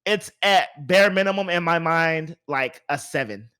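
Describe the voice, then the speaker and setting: A man's voice: on the high side, measured pace, sharp tone, clear, resonant tone. A man hosting a podcast, chatting casually about movies in an informal, emphatic way. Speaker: podcast host.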